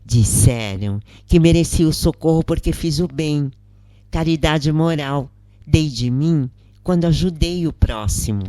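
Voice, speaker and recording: A Brazilian woman narrates a story at a slow, unhurried pace. Her delivery is measured and expressive, like an audiobook. The sound is close and dry. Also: sits low, dry recording.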